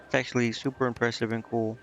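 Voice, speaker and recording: A man's voice: medium pitch, flat delivery, fast. A man doing casual live game commentary, informally thinking aloud and reading dialogue out as he goes. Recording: dull, band-limited sound with music. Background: game music.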